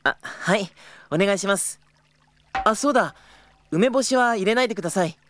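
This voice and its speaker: A man's voice: high-pitched, expressive, moderate pace, fairly bright tone, a little hiss or hum. A man playing an earnest young tour company employee in an audio drama, polite and easily flustered. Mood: polite, businesslike. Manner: polite, hesitant.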